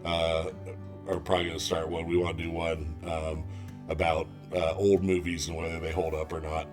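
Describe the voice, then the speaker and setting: A man's voice: sits low, monotone, normal speed, over music. A man in his forties with a Californian accent, chatting casually as a podcast guest, with dry, self-deprecating jokes. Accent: Californian American. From San Jose, California, USA. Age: 40s. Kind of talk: casual chat.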